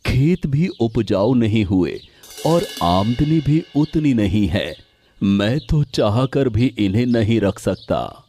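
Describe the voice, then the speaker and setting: Man acting a slow, humble, weary poor farmer. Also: on the low side, lively intonation, mellow, husky, soft background music, exaggerated voice. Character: poor, humble, dejected male farmer, kind to his animals. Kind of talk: cartoon moral story acting.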